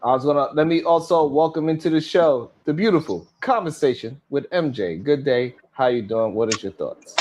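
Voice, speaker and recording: A man's voice: a little high, moderate pace, few pauses, darkish tone. A man hosting a discussion show, moving things along with brief, casual remarks. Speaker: show host.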